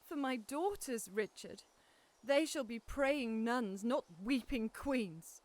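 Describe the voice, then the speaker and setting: A woman's voice: on the high side, animated, slow. An actress playing a widowed queen who mourns her sons and accuses the king in impassioned verse. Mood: defiant grief. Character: grieving widowed queen, fierce mother. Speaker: actor. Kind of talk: Shakespearean audio drama.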